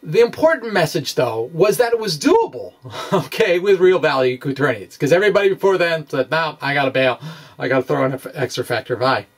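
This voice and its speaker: A man giving an informal explanatory physics monologue in a halting, self-deprecating, conversational way. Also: fairly high pitch, expressive, breathy edge, distant mic. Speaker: amateur physics video blogger.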